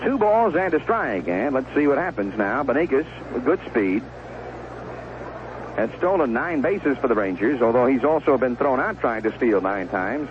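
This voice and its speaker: Animated baseball announcer on noisy old radio, male. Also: mid-range voice, normal speed. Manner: conversational, descriptive, clipped short phrases. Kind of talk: live baseball play-by-play commentary. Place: stadium broadcast booth. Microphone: broadcast microphone with headset earphone monitoring. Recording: noisy, dull 1970s AM radio broadcast.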